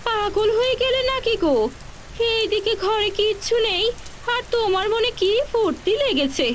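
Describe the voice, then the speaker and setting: A woman playing a practical village wife and mother in a cartoon fairy tale, exclaiming and quick to nag. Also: extremely high pitch. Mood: scolding. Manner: sharp-tongued, exclaiming, nagging. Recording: faint rain and hiss behind.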